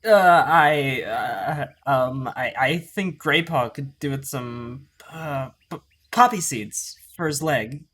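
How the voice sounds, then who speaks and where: An earnest young tomcat apprentice, voiced by a man, concerned for others and a bit unsure. The delivery is unhurried and breathy, as in an animal audio drama. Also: medium pitch, fairly bright tone. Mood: awkward, unsure. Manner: hesitant. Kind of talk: acted fan audiobook dub.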